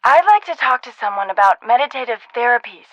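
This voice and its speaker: A woman playing a pushy, no-nonsense caller in a video game, brisk and demanding. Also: on the high side, animated, a bit bright, no room sound, lo-fi sound. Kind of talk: video game phone prank dialogue.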